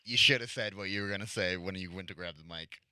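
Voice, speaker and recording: A man's voice: low voice, pushed and bright, clear, resonant tone, no echo. A man co-hosting a podcast, teasing and joking as he cuts in on a friend's story. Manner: joking, teasing, quick interjections. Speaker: podcast host. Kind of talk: casual chat.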